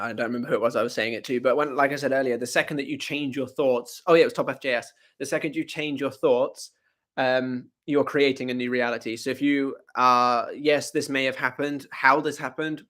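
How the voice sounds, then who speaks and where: A man's voice: mid-range voice, fairly bright tone. Give me a casual young male host working through viewer questions in a loose, meandering way. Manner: casual, rambling, self-correcting. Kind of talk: live stream Q&A, reading viewer comments.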